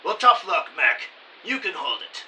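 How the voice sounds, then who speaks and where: An actor playing a short, plump, middle-aged gangster who barks threatening orders in old-time mobster slang in an audio drama. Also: male voice, high-pitched, very animated, a little slow, sharp tone, full character voice. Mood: gruff, irritated. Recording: slightly distant, hissy home recording.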